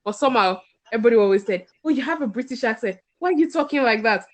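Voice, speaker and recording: A woman's voice: on the high side, animated, racing. A woman with a Nigerian accent hosting a casual group chat on a podcast. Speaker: podcast host.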